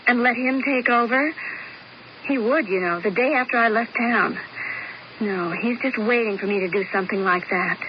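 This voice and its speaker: A woman acting as a needy, self-regarding young wife in vintage radio melodrama. Her voice sits high, with pleading, theatrical phrasing. The broadcast recording is dull with clear background noise. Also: moderate pace, lightly acted. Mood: self-pitying. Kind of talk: old-time radio drama acting. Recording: muffled, noisy 1970s radio broadcast.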